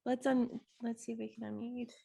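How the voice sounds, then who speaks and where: A woman's voice: on the high side, flat delivery, brisk, vocal fry, mellow. A woman co-hosting a live webinar in a casual, chatty, enthusiastic way with frequent giggles. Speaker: webinar co-host. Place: online video call.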